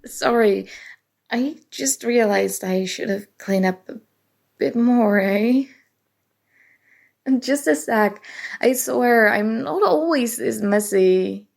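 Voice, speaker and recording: Woman playing a flustered nerdy college roommate, highish creaky voice, young, halting, self-deprecating, ASMR roleplay. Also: exaggerated voice. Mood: apologetic, nervous. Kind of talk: ASMR roleplay, acted casual conversation.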